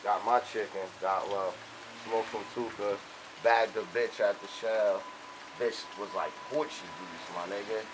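A young man telling boastful, animated street stories in casual, profane slang. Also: fairly low pitch, unhurried. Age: young adult. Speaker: storyteller. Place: outdoors on a covered house porch. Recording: rain and outdoor ambient noise.

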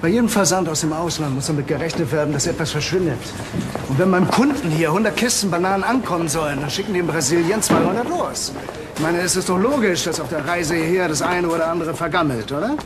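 An actor playing a gruff, defensive fruit-shipping boss who blusters under questioning in a German crime radio drama. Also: male voice, fairly high pitch, fast, very noisy, natural voice. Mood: justifying. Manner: evasive, blustering.

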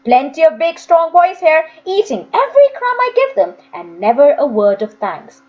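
A woman voicing a stern, sneering workhouse master in a storybook, scolding with drawn-out emphasis. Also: high-pitched, very animated, lo-fi sound. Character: stern, sneering old-fashioned workhouse master, grown man.

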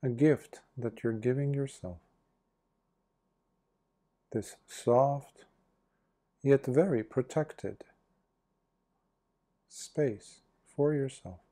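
A man leading a guided meditation as a Buddhist monk, speaking gently in short phrases with long pauses between them. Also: mid-range voice.

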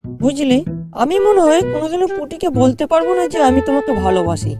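A very high-pitched, fast-talking schoolboy voiced by a performer for a comedy cartoon. He is earnest, naive and well-behaved, questioning and chiding, with music behind him. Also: very animated. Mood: naive, curious. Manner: questioning, mildly scolding.

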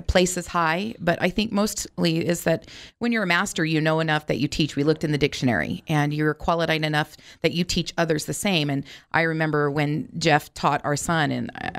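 Middle-aged woman, deep-toned voice, radio guest, small business owner talk. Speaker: auto repair shop co-owner, radio guest.

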